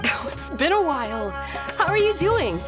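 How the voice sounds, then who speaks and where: A woman playing a polite, gentle and affectionate young noblewoman in a video game. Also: extremely high pitch, big pitch swings, unhurried, loud music behind the voice, full character voice. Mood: cheerful.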